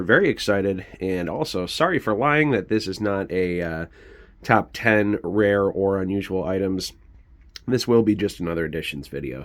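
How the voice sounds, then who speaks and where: A man with a congested, sick voice giving a casual, rambling show-and-tell as host of a collection channel. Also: low-pitched.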